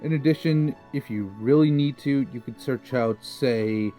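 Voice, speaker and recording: A man's voice: medium pitch, measured pace, dark tone, faint music behind. A young man, a little nasal from a cold, casually and methodically walking through a card game deck list. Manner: casual, methodical, lots of fillers like kinda. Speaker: deck profile presenter.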